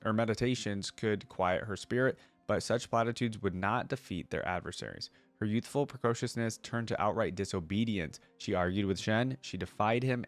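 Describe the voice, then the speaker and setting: A man's voice: on the low side, no room sound. A man hosting a reaction video, reading lore aloud and adding casual commentary as he thinks aloud.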